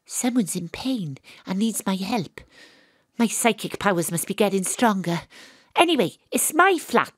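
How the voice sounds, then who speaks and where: An actress with a Welsh accent playing a wry, exasperated psychic private detective in an audio drama. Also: female voice, a little high, dry recording, studio quality. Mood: defiant. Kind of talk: audio drama acting, dialogue and first-person noir narration.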